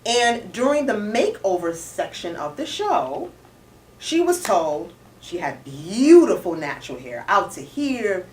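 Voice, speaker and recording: A woman's voice: medium pitch, a little slow. A woman co-hosting a casual talk show, recapping a news story and arguing with her co-host. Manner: lively, argumentative, corrects her co-host. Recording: echoey room, distant mic, faint noise.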